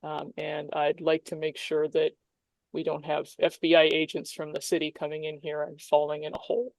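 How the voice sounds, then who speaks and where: A woman's voice: low voice, monotone, normal speed, vocal fry, darkish tone, no echo, rough recording. A woman playing a plain-spoken, worried local lawman briefing a colleague. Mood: earnest, tired.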